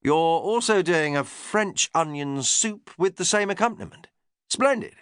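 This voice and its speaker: A man's voice: high-pitched, animated, unhurried, fairly bright tone, clear, resonant tone, no room sound, studio quality, pitched above the performer's own voice. A man voicing a genteel, cheerful English aristocrat with a plummy, enthusiastic manner. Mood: delighted.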